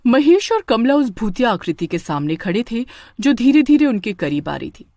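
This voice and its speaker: A woman narrates a village horror story in a dramatic, scripted way. Her pitch rises and falls widely, and the recording is dry and close. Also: very animated, moderate pace, warm tone, no echo. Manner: dramatic, with stammering in character lines.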